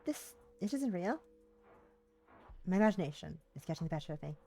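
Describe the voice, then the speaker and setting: A woman's voice: lowish voice, sing-song, fairly quick, creaky, warm tone, faint music behind. A woman live streaming, chatting casually and reading visual novel text aloud, now and then tripping over words and rereading them. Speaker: live streamer playing a game.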